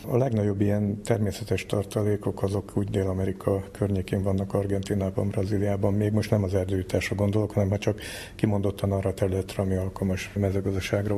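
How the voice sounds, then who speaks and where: A man's voice: on the low side, dark tone, a little hiss or hum. A middle-aged or older man, an academic guest, explaining things informally in a plain-spoken way. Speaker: academic scientist, interview guest.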